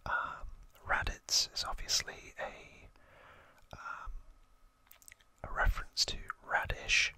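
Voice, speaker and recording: Asmr host whispering real close n dry, slow and halting, casual trivia explainer. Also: dry recording. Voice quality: soft unvoiced whisper. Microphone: close microphone.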